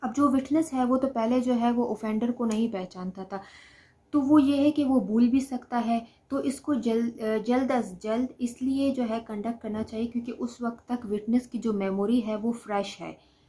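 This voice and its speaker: A woman's voice: on the high side, steady tone, normal speed, warm tone. A woman with a Pakistani accent giving an explanatory law lecture, going step by step and repeating each point. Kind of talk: explanatory lecture alternating Urdu explanation with slowly read English definitions.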